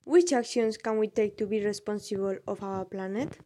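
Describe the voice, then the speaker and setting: Young woman, mellow voice. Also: medium pitch, normal speed, dry recording. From Spain. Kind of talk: reading prepared answers.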